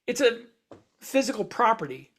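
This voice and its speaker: A man co-hosting a casual podcast, explaining in a halting way and repeating words as he works out his thoughts. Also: very high-pitched, sing-song, a bit bright. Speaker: podcast co-host and science fiction author.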